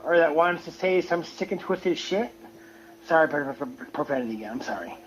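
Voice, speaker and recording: A man giving an opinionated, casual rant on a livestream, halting and often repeating his words. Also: on the high side, lively intonation. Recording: muffled lo-fi audio with noise.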